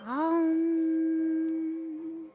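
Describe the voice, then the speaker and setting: Fortune-teller, high voice, slow delivery, theatrical, pompous, haughty, video game dialogue. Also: female voice, monotone, over music, exaggerated voice. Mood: feigned attentiveness. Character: fortune-teller woman, haughty mouthpiece of the gods. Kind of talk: game cutscene voice acting.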